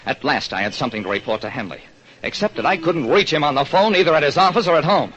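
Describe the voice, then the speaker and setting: A man's voice: a little high, animated, quick pace, fairly bright tone. An actor playing a hard-luck, wry, world-weary private detective who narrates his case in an old radio drama. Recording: dull, noisy old radio recording.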